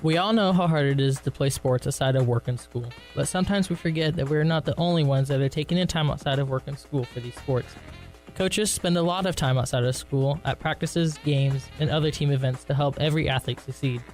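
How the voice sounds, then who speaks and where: A young American man with a slightly high voice reads a scripted public service message. Faint music plays behind him. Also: brisk, a little mellow. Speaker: student athlete spokesperson.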